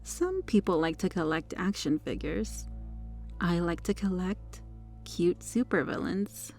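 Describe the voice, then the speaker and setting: Woman acting smug, creaky-voiced possessive superheroine. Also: lowish voice, measured pace, a little mellow, faint music behind. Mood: smug flirtatious. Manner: teasing, condescending, seductive. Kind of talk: ASMR roleplay monologue addressed to the listener.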